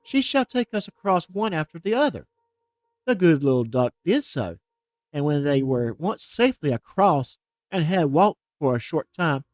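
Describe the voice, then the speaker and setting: Expressive male storybook reader. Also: a little high, no room sound, lo-fi sound. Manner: expressive, with small slips and restarts. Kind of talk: storybook reading.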